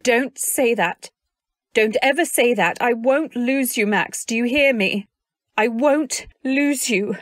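A woman's voice: on the high side, slow, no room sound, studio quality. A woman playing a fiercely loyal, protective wife in a radio drama, speaking earnestly and with dramatic emphasis. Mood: tender, insistent. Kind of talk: old-time radio drama acting with first-person narration.

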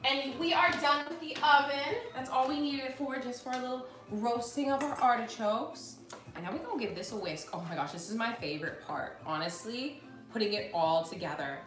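A woman's voice: on the high side, moderate pace. Young woman, bubbly live-cooking host, echoey kitchen, faint music behind. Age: young adult. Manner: bubbly, chatty, addresses viewers as y'all. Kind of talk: live cooking stream, casual chat. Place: home kitchen, indoors. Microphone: fixed camera at counter.

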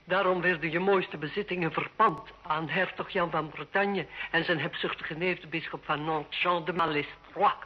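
Noblewoman wife, proud and bitter. Husky, theatrical reproach, muffled old radio play sound. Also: lowish voice, fairly even intonation, normal speed, few pauses. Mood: scornful, accusing. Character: proud, bitter, lonely noblewoman wife pleading with her husband. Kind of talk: old Dutch radio drama acting.

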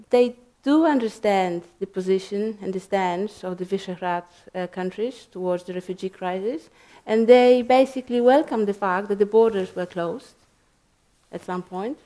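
A woman answering as a panelist in a library discussion, thoughtful and hesitant, with many pauses and restarts. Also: medium pitch, warm tone. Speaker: panelist, researcher. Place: library event room.

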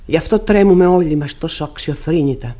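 An actress playing a bitter, haunted middle-aged widow in a classic radio drama, with an emphatic, theatrical delivery. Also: female voice, sits low, mellow.

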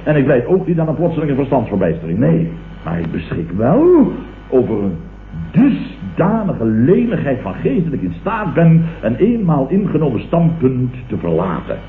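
Blustering, self-important Dutch boss, very lively intonation, vintage radio comedy, tinny sound. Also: male voice, medium pitch, normal speed. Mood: pompous, self-righteous. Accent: Dutch, from the Netherlands. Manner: pompous, indignant, declamatory, with repeated sputtering interjections. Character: blustering, pompous middle-aged businessman, opportunistically turned leftist. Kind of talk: 1960s-70s radio comedy acting. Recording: tinny, echoey, hissy old radio recording.

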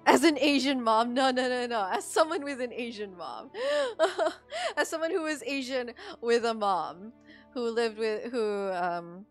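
A young woman with a fairly high voice talks casually to her livestream viewers. She is chatty and rambles, circling back over her phrases as she explains things. Faint music plays behind her. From Philippines. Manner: chatty, rambling, filler-heavy with frequent "like". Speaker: game streamer.

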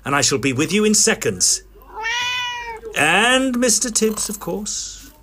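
An actor playing a polite, fussy Edwardian gentleman ghost hunter in an audio drama, with an English accent and a formal, slightly hesitant manner. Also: sits high, very animated, takes it slowly, background noise, exaggerated voice. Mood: reassuring. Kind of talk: audio drama acting and first-person narration.

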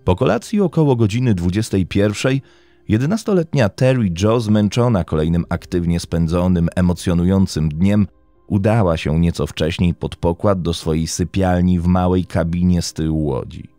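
A man narrating a scripted true-crime story in a measured, dramatic way with deliberate pauses. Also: low voice, dark tone, no echo. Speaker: podcast host and narrator. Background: background music.

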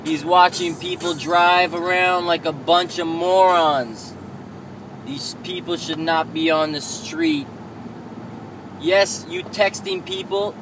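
High-voiced man ranting from his car. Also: fairly bright tone, slight background noise, low-quality recording. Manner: indignant, lecturing rant. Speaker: vlogger. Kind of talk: ranting vlog while driving. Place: inside a moving car. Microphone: camera mounted on the dashboard.

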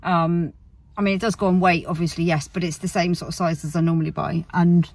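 Low, husky British woman, rambling. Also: fairly even intonation, fairly quick, darkish tone, no echo, far from the mic. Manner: rambling, word-searching, self-correcting. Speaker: family vlogger. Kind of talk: casual chat to camera. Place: inside a parked car.

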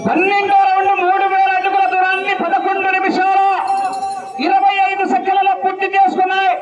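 A man giving excitable live bull race commentary, shouting out announcements and hype cries. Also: very high voice, a little fast, lo-fi sound. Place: outdoors at a race ground, crowd around. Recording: distant echoey outdoor PA, loud crowd noise.

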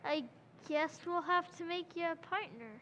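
A woman's voice: very high-pitched, fairly even intonation, normal speed, fairly bright tone, long reverb tail. A woman voicing an eager, enterprising teenage boy in an old-time radio play recreation, pleading and earnest.

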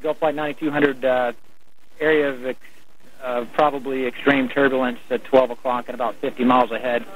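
A man's voice: mid-range voice, little pitch movement, brisk. A man working as an air traffic controller, giving clipped procedural instructions over the radio. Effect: radio transmission. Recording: narrow-band, noisy air traffic radio.

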